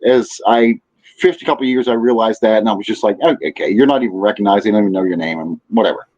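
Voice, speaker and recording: A man's voice: medium pitch, darkish tone, lo-fi sound. A man speaking as a podcast guest, explaining things casually in an earnest, emphatic way.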